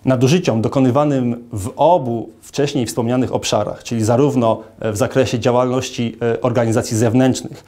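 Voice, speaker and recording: A man in his thirties, a lawyer, giving a formal statement to camera in an office, speaking in a measured way with frequent hesitation fillers. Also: mid-range voice, steady tone, mellow. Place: indoor office with large arched window.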